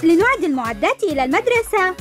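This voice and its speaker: A woman's voice: extremely high pitch, very animated, fast, fairly bright tone, faint music behind, exaggerated voice. A woman voicing a sensible young superhero girl in a cartoon dub, earnest and reassuring. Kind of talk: cartoon dubbing.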